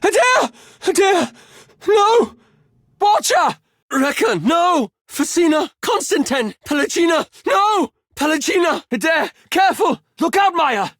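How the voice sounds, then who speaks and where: Refined male adventurer, fantasy game, formal old-fashioned speech, cautious yet fiery, highly animated intonation, dry studio sound. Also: a little slow, dry recording, exaggerated voice. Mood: alarmed, urgent. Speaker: party companion character in a role-playing game. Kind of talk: fantasy video game voice acting.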